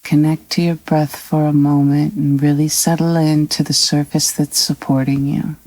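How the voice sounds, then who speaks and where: A woman reading a scripted guided meditation in a calm, soothing, unhurried manner with long pauses. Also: low voice, rough recording.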